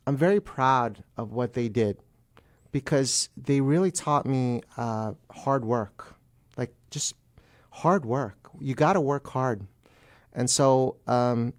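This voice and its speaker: A man's voice: medium pitch, slow. A man speaking conversationally as a guest attorney on a talk show, explaining things emphatically and repeating key phrases.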